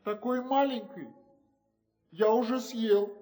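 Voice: mid-range voice, slow, warm tone, big-room sound, soft background music, lightly acted. A performer voicing a simple, eager male gatekeeper in an audio fairy tale.

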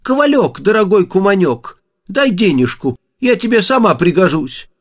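A male narrator puts on a little female storybook mouse. The voice is high and lilting, coaxing sweetly in a folksy fairy-tale way. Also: very animated, moderate pace. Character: small wheedling female mouse from a folk tale.

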